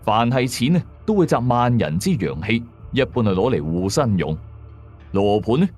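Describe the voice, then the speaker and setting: A man narrating a scripted first-person horror story, measured, with conversational asides. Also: mid-range voice, very animated, a little mellow. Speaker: audio drama narrator. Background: background music.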